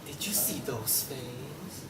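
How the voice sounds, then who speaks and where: Girl as gentle naive pig, slow talker, big pitch swings, distant noisy recording. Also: lively intonation, full character voice. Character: kindly, naive pig juror, easily second-guessing. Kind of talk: student table read of a comic play. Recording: echoey noisy room, distant mic.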